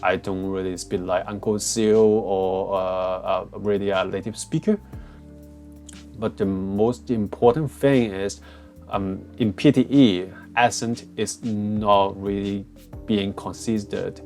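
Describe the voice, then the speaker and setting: Hong kong accented guy talking thru test tips, lowish voice, lots of ums, quiet music under it. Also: fairly even intonation, slow pace. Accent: Hong Kong English. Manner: frequent fillers and restarts, plain phrasing. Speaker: test prep tutor. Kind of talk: casual explainer walkthrough. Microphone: cheap headset.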